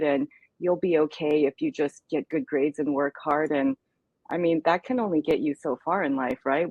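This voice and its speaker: A woman's voice: low voice, darkish tone, breathy edge, dry recording. A woman speaking in an interview, earnest and reflective as she talks through her experience. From United States. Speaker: guest speaker. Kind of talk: candid interview conversation.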